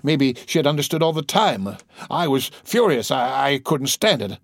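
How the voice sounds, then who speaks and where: A man voicing a lonely, excitable little old man in an audiobook, with a thin, shaky, halting delivery. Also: medium pitch, no echo, studio quality, voice pushed up. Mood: agitated, pleading. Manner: halting, stammering, repeating words.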